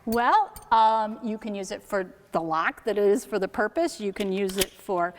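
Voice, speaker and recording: A woman's voice: medium pitch, animated, moderate pace. A woman giving an informal, step-by-step demonstration as a sound effects technician. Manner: instructive, step-by-step explaining. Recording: echoey hall with clear background noise.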